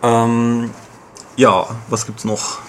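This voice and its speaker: A man reviewing a video game in casual podcast chat, explaining at length with many fillers and pauses. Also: medium pitch, little pitch movement, slight background noise.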